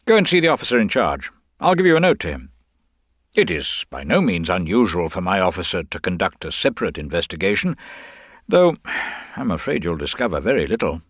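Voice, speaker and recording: A man playing a brisk, confident public prosecutor in a detective radio drama, breezy and authoritative. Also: deep voice, big pitch swings, dry recording, clean studio sound. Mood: brisk, obliging.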